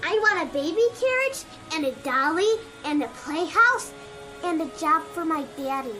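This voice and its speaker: A woman's voice: very high-pitched, lively intonation, moderate pace, bright, forceful tone, faint music behind, heavily acted. An actress voicing a poor little girl in an audio drama, speaking in a small, hopeful and plaintive way. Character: poor little girl asking Santa for gifts. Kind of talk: radio drama acting.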